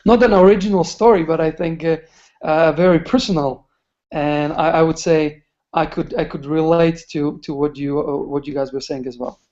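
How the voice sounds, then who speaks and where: A man's voice: a little high, steady tone, normal speed, a little mellow. A man sharing a personal story on a webinar, hesitant and searching for words. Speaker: webinar participant. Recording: muffled webinar call audio.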